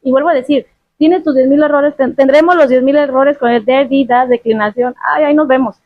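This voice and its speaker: A chatty Mexican woman guest with a high, bright voice talks lively and laughs easily. She comes through a tinny, phone-like line that sounds dry and close. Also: brisk, no echo. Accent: Mexican Spanish. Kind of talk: casual interview chat.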